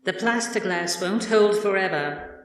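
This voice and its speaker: A man's voice: high voice, a little slow, a bit bright, voice pushed up. A man voicing a cold, implacable female computer intelligence with a detached, formal manner and a cavernous echo effect. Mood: coldly commanding. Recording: very echoey, distant, long reverb.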